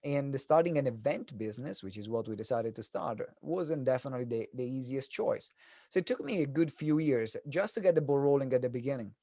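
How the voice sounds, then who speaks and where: A man's voice: mid-range voice, expressive, a little fast, dark tone, no room sound, clean studio sound. A man from Italy with an Italian accent gives an informal, joking talk to an online audience, telling stories as a business coach. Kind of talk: animated presentation to an online audience. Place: online event.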